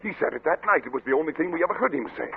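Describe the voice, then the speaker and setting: A man acting an obsessed, agitated scientist in a 1940s radio suspense play, with intense, halting delivery and a gravelly voice. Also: on the high side, expressive, full character voice. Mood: excited, feverish. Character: obsessed, agitated scientist doctor husband turning slyly deceitful. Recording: noisy, narrow-band old radio recording.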